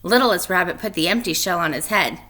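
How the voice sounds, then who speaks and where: A woman's voice: on the low side, fairly quick, bright, forceful tone. A woman reading a children's storybook aloud and talking informally to pupils like a teacher.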